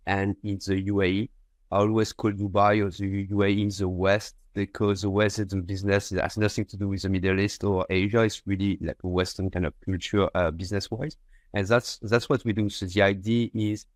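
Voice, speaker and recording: A man's voice: fairly low pitch, no room sound. A man from France with a French accent, explaining his business conversationally as an interview guest. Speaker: company founder, podcast guest.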